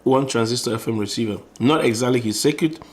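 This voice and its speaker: A man's voice: medium pitch, fast, a little hiss or hum. A man narrating an informal hands-on tutorial in a conversational, explanatory way, often rephrasing as he goes. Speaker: electronics tutorial presenter.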